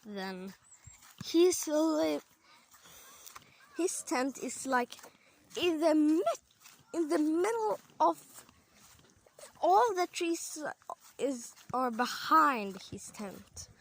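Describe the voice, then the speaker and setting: A woman's voice: high voice, very animated, extremely slow, long pauses. A woman from Sweden with a Swedish accent, chatting hesitantly through a casual outdoor vlog at a campsite, filming on a handheld camera with lots of fillers. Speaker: vlogger. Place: outdoor campsite among trees.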